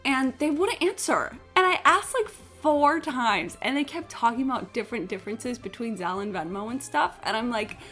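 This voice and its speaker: Woman game streamer, higher-pitched, casual and blunt, sweary rambling storyteller, light game music underneath. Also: lively intonation, normal speed, few pauses, pushed and bright. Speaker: live streamer. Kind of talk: casual gaming stream chat, rambling anecdotes. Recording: game music playing behind the voice. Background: video game music.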